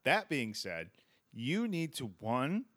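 A man's voice: mid-range voice, slow, no room sound, studio quality. A man hosting a podcast, chatting casually and joking with plenty of swearing. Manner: animated, profane, joking. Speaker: podcast host.

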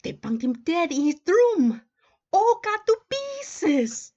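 A woman's voice: very high voice, big pitch swings, slow pace, a little mellow, heavily acted. A woman playing a deferential young hospital nurse in a radio play, speaking dramatically on a muffled recording. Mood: hushed, ominous.

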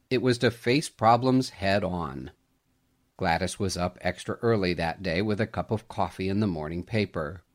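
A man reading a scripted Christmas story aloud in an expressive storytelling manner. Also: fairly low pitch. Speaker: storyteller, podcast host.

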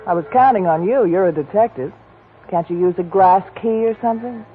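An actress playing a detective's pleading, coaxing old flame, in 1940s radio acting style. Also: female voice, medium pitch, a little fast, slightly rough, faint music behind, natural voice. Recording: tinny old radio broadcast with faint hiss.

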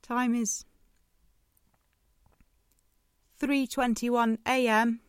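A woman playing a lonely, desperate late-night radio DJ in an audio drama, speaking in a halting, pleading way. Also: mid-range voice, a bit bright, smooth, no room sound, clean studio sound. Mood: drained, faint.

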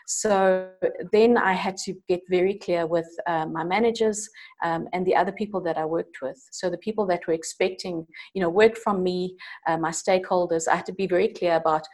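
Middle-aged South African woman, low register, considered interview answers with plenty of ums and restarts. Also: steady tone, fairly quick, darkish tone. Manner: thoughtful, with frequent ums and restarts. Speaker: internal coach and change manager, guest.

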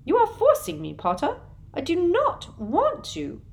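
A woman reading an audiobook, voicing a cruel, simpering middle-aged witch official in a high, breathy, sugary-menacing voice. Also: huge pitch swings, unhurried, exaggerated voice. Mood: coaxing.

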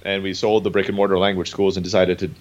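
A man in his thirties, a guest entrepreneur telling his story in a relaxed, rambling way with many fillers. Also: sits low, fast, dull audio.

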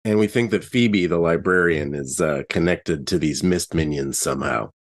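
Man as skeptical, earnest sleuth in a tabletop game, deep voice, feeling his way through sentences. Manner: hesitant, halting. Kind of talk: improvised in-character tabletop dialogue.

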